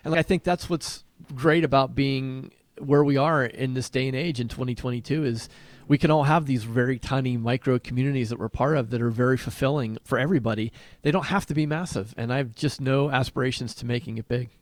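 A man's voice: mid-range voice, steady tone, brisk, no room sound. A man co-hosting a podcast in casual conversation, informal and thinking aloud as he rephrases his points. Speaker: podcast co-host.

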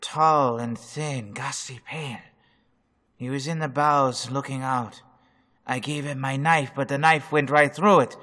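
A man's voice: mid-range voice, steady tone, measured pace, bright, forceful tone, long reverb tail. A man playing a ship's mate driven mad with fear, speaking in a fixated, obsessive way in a radio drama reenactment. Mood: unhinged.

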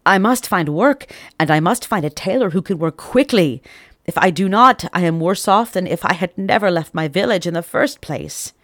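A woman's voice: on the low side, expressive, normal speed, no echo, clean studio sound. A woman voicing a poor, anxious young man who stammers and frets. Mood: worried. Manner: stammering, fretful.

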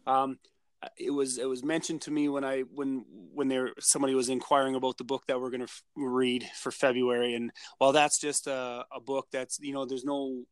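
A man's voice: medium pitch, little pitch movement, brisk, smooth, no echo. A man co-hosting a casual podcast discussion, rambling through his thoughts with many restarts. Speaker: podcast co-host.

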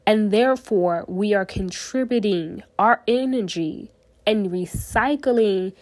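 A woman's voice: mid-range voice, slow, dry recording. A young woman hosting a solo podcast, sounding nasal from a cold and speaking earnestly in short phrases into her phone. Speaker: podcast host.

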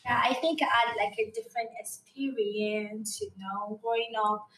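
A woman's voice: fairly high pitch, fairly even intonation, moderate pace, a bit bright. A young Nigerian woman with a Yoruba first language and a Nigerian accent, chatting casually and animatedly, laughing often and using many fillers. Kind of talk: casual chat. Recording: roomy sound, distant mic.

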